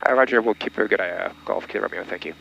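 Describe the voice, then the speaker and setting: A man's voice: sits low, very fast, creaky, slightly rough. A man speaking as a private pilot, making clipped procedural radio calls with small hesitations. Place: light aircraft cockpit. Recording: dull audio with faint aircraft hum. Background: engine hum.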